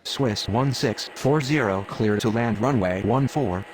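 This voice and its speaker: A man's voice: lowish voice, unhurried, a little hiss or hum, close to an everyday voice, low-quality recording. A man playing a plain, businesslike airport radio operator in an aviation game, with formal procedural phrasing. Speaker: air traffic radio voice.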